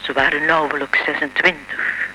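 An actress playing a composed, mysterious old French lady in a radio play, speaking formally and with measured care. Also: low-pitched, flat delivery, very noisy, lightly acted. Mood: composed, revealing. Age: older.

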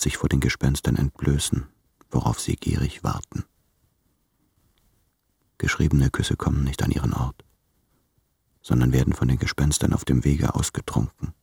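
An actor playing a melancholy, tormented writer reading his love letters in a radio play, with measured, introspective, formal diction. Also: very deep voice, gravelly, a little mellow, husky, heavily acted. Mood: haunted, grave. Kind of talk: literary German radio play monologue.

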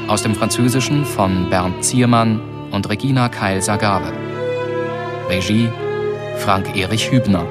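Low slow male announcer reading credits, loud guitar and strings under it. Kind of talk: scripted title announcement.

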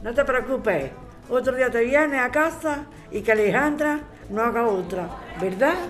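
Woman playing a high-voiced, grateful ticket seller. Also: expressive, normal speed, soft background music, some chatter behind, natural voice. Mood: pleased, grateful. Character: grateful, friendly woman selling party tickets. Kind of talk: audio drama dialogue.

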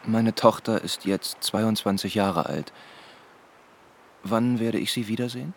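An actor in a German radio drama playing a proud, elusive convicted professor who speaks with measured defiance. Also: male voice, lowish voice, vocal fry, slight background noise. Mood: guarded, defiant. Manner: measured, deliberate.

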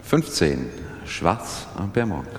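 A man's voice: low-pitched, very animated, slow pace, exaggerated voice. A man playing a casino croupier making formulaic table calls in a radio drama. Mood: formal. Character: casino croupier calling bets and winning numbers. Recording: very noisy, echoey crowded casino hall. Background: loud crowd and casino ambience.

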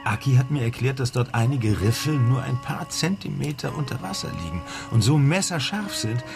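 An actor in a radio crime drama playing a cautious, inquisitive sleuth with a gravelly voice and questioning manner. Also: male voice, mid-range voice, animated, normal speed, over music. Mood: curious. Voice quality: gravelly, creaky.

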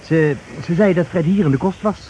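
A man's voice: on the high side, fairly quick, warm tone, lo-fi sound, lightly acted. An actor in a radio play playing an anxious, hesitant visitor looking for his brother, politely stammering and repeating himself. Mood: hesitant, searching. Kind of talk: naturalistic radio play acting. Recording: noisy old radio play recording.

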